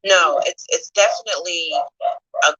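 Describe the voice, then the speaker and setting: A woman's voice: fairly low pitch, normal speed, lo-fi sound, background noise. A woman moderating a casual chat, cheerful and enthusiastic as she asks questions.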